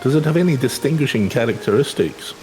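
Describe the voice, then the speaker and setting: A man's voice: medium pitch, lively intonation, fast talker, warm tone, faint music behind, voice pushed up, lightly acted. Man voicing a courtly, obliging minstrel. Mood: gracious. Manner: flowery, gracious. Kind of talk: video game dialogue read aloud in character.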